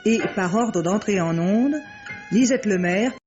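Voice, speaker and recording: A woman's voice: mid-range voice, a little slow, darkish tone. Female announcer, muffled vintage radio, music behind. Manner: formal, articulate. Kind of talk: formal scripted credits announcement. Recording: dull vintage radio recording.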